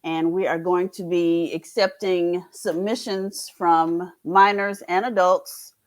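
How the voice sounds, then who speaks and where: A woman's voice: fairly low pitch, fairly even intonation, slow. A woman speaking casually as a panelist in an informal discussion.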